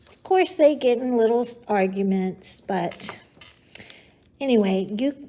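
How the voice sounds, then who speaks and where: A woman's voice: mid-range voice, mellow. A woman hosting a storytime for young children, explaining things simply and encouragingly. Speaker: library storytime host. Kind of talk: children's storytime presentation with read-aloud and singing.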